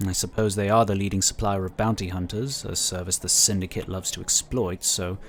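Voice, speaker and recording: Man playing a low-voiced, measured inquisitor. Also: fairly even intonation. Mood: wry, informative. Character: measured, wry male inquisitor, cautious and protective. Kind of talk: sci-fi audio drama acting.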